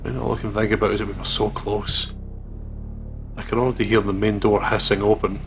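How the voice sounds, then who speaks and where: A man with a slightly low voice narrates a visual novel as a let's play host. His reading is dramatic, with clear music behind it. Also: flat delivery, fairly quick.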